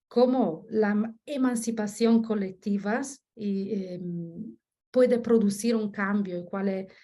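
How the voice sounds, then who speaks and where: A woman researcher delivers an academic talk in an Italian accent, her native tongue being Italian. Her voice sits at a medium pitch. She moves haltingly, searching for words and correcting herself as she goes. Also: warm tone. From Italy. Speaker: researcher giving a lecture. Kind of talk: academic presentation in Spanish as a second language.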